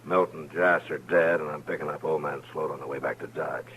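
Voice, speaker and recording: A male actor with an American accent playing a steady, courteous Old West lawman in a radio western, speaking in a measured, polite way. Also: extremely low pitch. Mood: puzzled, reassuring. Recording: noisy vintage radio recording with hum.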